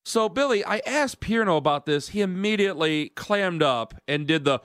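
A man's voice: high-pitched, animated, normal speed, fairly bright tone, clean tone, no room sound, clean studio sound. A man in his thirties hosting a radio sports talk show, rambling in casual banter with self-mocking asides. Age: 30s. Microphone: studio mic.

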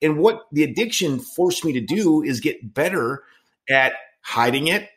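A man's voice: fairly high pitch, lively intonation, measured pace, fairly bright tone. A man in his late forties hosting a casual interview, talking in an earnest, rambling way with frequent restarts. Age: 40s. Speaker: podcast host in recovery.

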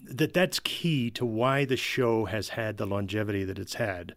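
A man's voice: fairly low pitch, lively intonation, moderate pace, dry recording, clean studio sound. A man talking casually as a guest in an interview. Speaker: guest, audio drama writer.

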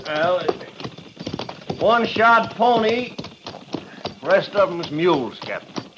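An actor playing a dutiful, laconic frontier cavalry sergeant with folksy speech in a 1950s radio western. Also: male voice, high voice, very animated, slow, heavily acted. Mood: dutiful, alert. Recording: muffled noisy old radio, horse effects.

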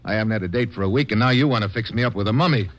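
An actor playing a wry, slangy American secret agent in a 1950 radio drama. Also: male voice, lowish voice, rapid-fire, natural voice. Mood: wry, exasperated. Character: breezy wisecracking male globe-trotting secret agent.

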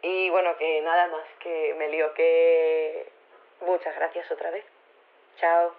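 An actress playing an earnest, slightly flustered university activist with a Spain accent, leaving an informal voicemail in an audio drama. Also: female voice, low voice, level tone, takes it slowly, slight background noise. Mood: earnest, awkward. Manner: hesitant, informal, self-conscious, pleading. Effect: phone filter. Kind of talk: casual voicemail in audio fiction. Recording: narrow tinny phone line.

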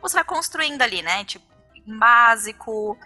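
A Brazilian woman in her thirties chatting as a podcast guest, lively and talkative. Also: a little high, faint music behind. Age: 30s. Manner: lively, chatty, quick-talking. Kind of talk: casual podcast chat.

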